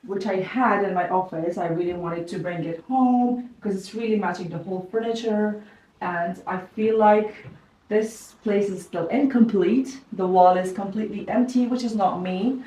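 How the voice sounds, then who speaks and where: A woman's voice: medium pitch, measured pace. Woman, echoey room. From Kazakhstan. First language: Kazakh. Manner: chatty, relaxed, many "like" fillers. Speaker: vlogger giving a home tour. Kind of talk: casual house tour narration. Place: home living room and bedroom. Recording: echoey room, mic at a distance.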